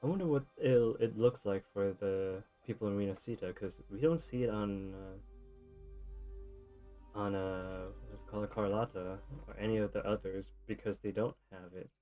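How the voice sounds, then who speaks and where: A man's voice: lowish voice, moderate pace, highs cut off. A man giving casual video game commentary, thinking aloud in a rambling way. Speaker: streamer playing a video game. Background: video game music.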